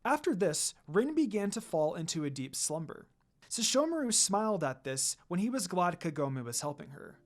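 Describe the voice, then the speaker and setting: An amateur narrator reading a fan fiction story aloud in an expressive storytelling style. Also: mid-range voice, moderate pace.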